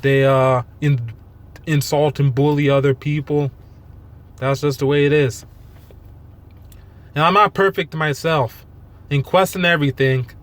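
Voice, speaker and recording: A man giving an unscripted, rambling rant in a monotone, halting way, blunt and self-deprecating with casual swearing. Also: fairly high pitch. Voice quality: monotone delivery. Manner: halting, rambling, loosely strung thoughts. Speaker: fitness vlogger.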